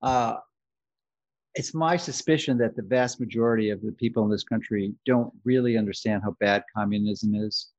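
A man hosting a political interview, who asks questions in an opinionated and halting way. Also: on the low side, muffled recording.

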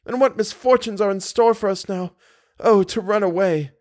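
A frightened young woman of a gothic storybook, as played by a male reader, pitched well up. She pleads earnestly and exclaims with dramatic, breathless devotion. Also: normal speed, darkish tone, no room sound, studio quality, natural voice. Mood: fretful.

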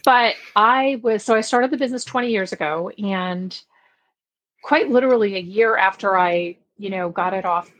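A middle-aged woman from Belgium chatting casually as an interview guest, her voice slightly husky. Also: medium pitch, moderate pace, a bit bright, poor audio. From grew up in Belgium. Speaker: podcast guest, publishing expert.

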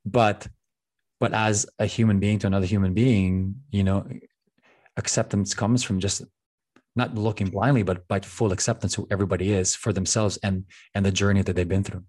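A man's voice: on the low side, fairly even intonation, normal speed, a little mellow. A man from the Philippines, a podcast guest, talks about identity in an earnest, searching way and often repeats words as he gathers his thoughts. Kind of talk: reflective casual conversation.

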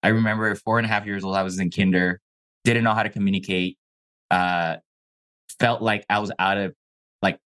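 Young man, guest, from Mexico, Chinese native speaker, low voice, casual slangy storytelling. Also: steady tone, fairly quick, long pauses, a bit bright. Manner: laid-back, says "bro" and "like" often. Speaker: podcast guest.

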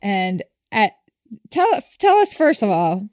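A woman hosts an interview in a casual, conversational way. She is effusive and encouraging toward her guest, with a mid-range pitch. The sound is dry, with no room echo around her. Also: very animated, slow pace, a little mellow. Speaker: interview host, author.

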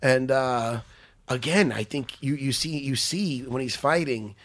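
A man with a loud voice chatting casually as a podcast co-host and fight coach, animated and full of restarts. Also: mid-range voice, a little slow. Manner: animated, stumbling restarts, laughs often. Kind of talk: casual chat.

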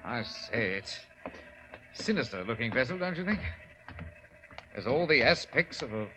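An actor playing a fussy, wary gentleman sidekick in 1940s radio drama, hesitant and exclamatory in an old-fashioned way. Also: medium pitch, big pitch swings, unhurried, music in the background. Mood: wary, disapproving. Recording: muffled 1940s radio recording.

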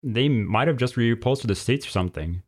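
A man's voice: mid-range voice, expressive, a little fast, darkish tone, husky. A man playing a laid-back, cheerfully unbothered teenage male skink in a story reading, with a casual manner.

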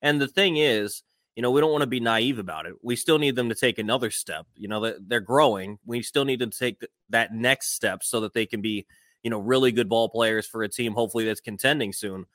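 A man with a medium-pitched voice co-hosts a sports podcast. He talks through his analysis in an easy conversational style. The recording is dry, with no room sound. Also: fairly quick, a bit bright, no echo.

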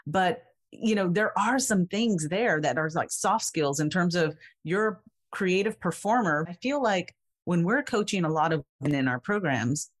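A woman's voice: lowish voice, animated, normal speed. A woman hosting an interview, a career coach who asks long questions and affirms often. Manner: encouraging, frequent affirming backchannels. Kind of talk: casual interview conversation.

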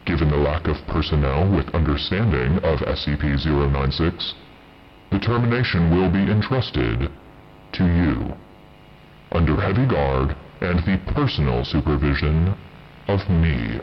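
Man acting a very deep, cold, stern overseer. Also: expressive, lo-fi sound, a little hiss or hum. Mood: cold, official. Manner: formal, measured, authoritative. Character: cold, stern male overseer passing sentence. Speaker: voice actor in audio play. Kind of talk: audio drama acting.

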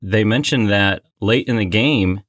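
A man's voice: on the low side, measured pace, fairly bright tone, no echo, studio quality. A man co-hosting a casual, playful quiz with banter. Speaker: co-host and quiz player.

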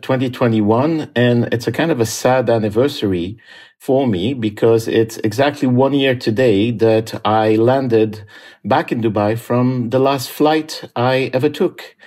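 A man from Syria and Lebanon, an aid worker, speaking calmly and thoughtfully from a quiet home office, pausing often with "uh". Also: mid-range voice. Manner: calm, thoughtful, with frequent "uh" pauses. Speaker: aid worker telling his story. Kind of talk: reflective monologue.

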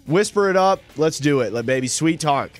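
A man's voice: a little high, lively intonation, moderate pace, faint music behind. A young man co-hosting a sports radio show, laid-back and easygoing, rambling through casual banter. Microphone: studio mic with headphones.

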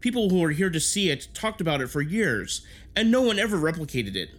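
A knowledgeable history buff on a time-travel crew played by a man in an audio drama. His slightly high voice is informative and appreciative. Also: big pitch swings, a little fast, a bit bright.

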